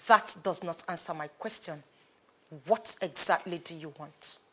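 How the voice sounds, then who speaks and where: An actress playing an obsessive, defiant young woman, with a sharp, confrontational voice. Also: fairly low pitch, unhurried, natural voice. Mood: angry. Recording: faint music behind.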